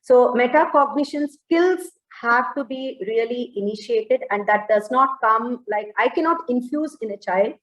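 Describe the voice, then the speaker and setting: Woman, Indian accent, fairly high voice, halting panel talk, self-correcting, roomy echo. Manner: hesitant, halting delivery with frequent self-corrections. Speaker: educator, webinar panelist. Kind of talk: extempore panel talk on education. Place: indoor room, online webinar. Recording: roomy, echoing online call audio.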